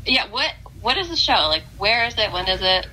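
A woman co-hosting a podcast in casual chat, teasing and upbeat, with quick reactions. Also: mid-range voice, very animated, sharp tone, rough recording. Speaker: podcast co-host.

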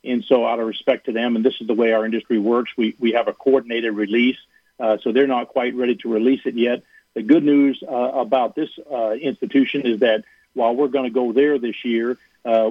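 A man calls in to a sports radio show and talks earnestly, his voice squeezed thin by a phone line. He rambles, restarts and fills gaps with "uh", and his voice sits in a middle pitch in a dry, close sound. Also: dry recording. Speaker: athletic director guest.

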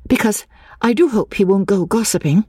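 Woman acting a high, excitable young Englishwoman. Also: normal speed, husky, dry recording, clean studio sound. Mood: alert, anxious. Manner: breathless, gushing, stammering repetitions. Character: excitable, desperate young Englishwoman longing to escape abroad. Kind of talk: audiobook character dialogue.

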